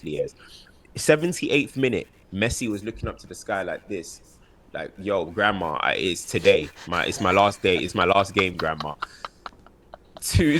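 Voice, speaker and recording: Male co-host, lower voice, punchy opinionated delivery. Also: a bit bright. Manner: emphatic, mocking repeated phrases. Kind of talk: casual football chat.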